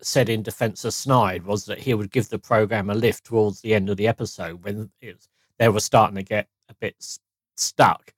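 Man, podcast co-host, fairly low voice, dry close sound. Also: steady tone, breathy edge, dry recording. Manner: measured, explanatory. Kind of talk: casual review chat.

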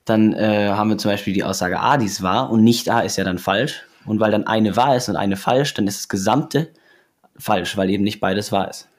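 Young man answering plainly, lowish voice. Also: moderate pace. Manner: answers plainly, explains in simple steps. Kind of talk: casual chat.

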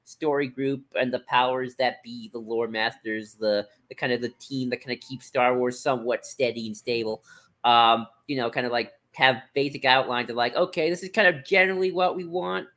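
Rambling male podcast co-host, mid-pitched. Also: fairly even intonation, normal speed, fairly bright tone. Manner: loose, rambling, thinking aloud. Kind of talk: casual chat about books.

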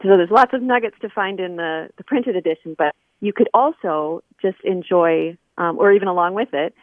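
A woman's voice: lowish voice, normal speed, no room sound. Woman guest on a thin phone line. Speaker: interview guest, editor and scholar. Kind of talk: conversational radio interview.

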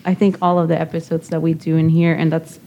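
A woman from Nicaragua hosts a panel conversation with a mellow, rather low voice. She talks thoughtfully and circles her points. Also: completely flat delivery, quick pace, dull audio, slight background noise. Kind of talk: casual panel talk.

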